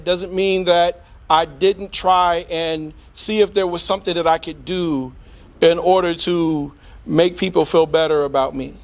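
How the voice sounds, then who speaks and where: A middle-aged man giving an impassioned, preacher-like public speech in a deliberate, rhetorical manner with emphatic repetition. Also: high-pitched, creaky, a little hiss or hum. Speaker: community speaker.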